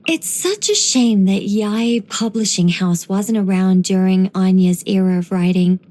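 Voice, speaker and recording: A woman voicing a gentle, wise young angelic woman in game voice lines, soft and wryly musing. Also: medium pitch, a little slow, natural voice.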